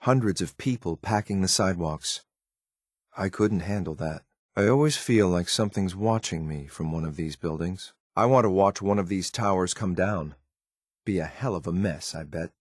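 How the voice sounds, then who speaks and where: Deep-voiced, deadpan game soldier. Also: male voice, normal speed, no room sound, studio quality. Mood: cold, disdainful. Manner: clipped, deadpan, disdainful. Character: grim synthetic male soldier, cold, disdainful of the wasteland. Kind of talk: scripted video game companion lines.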